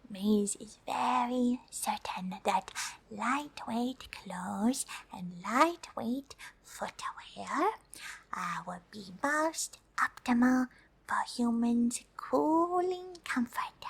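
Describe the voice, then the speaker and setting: A woman voicing a gentle, polite female alien for an ASMR roleplay, in a soft breathy whisper with slow, hesitant, stilted English. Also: a little high, exaggerated voice, low-quality recording. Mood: earnest, instructive. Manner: slow, deliberate, hesitant, refers to herself in third person.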